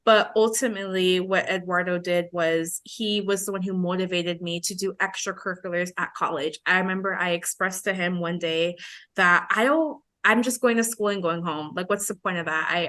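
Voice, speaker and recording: A young woman in her twenties with a bright, forward voice. She co-hosts a podcast and speaks casually, like telling friends a personal story, slipping into remembered dialogue as she goes. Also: lowish voice. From Belize. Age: 20s. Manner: chatty, informal, acts out remembered dialogue. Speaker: podcast co-host.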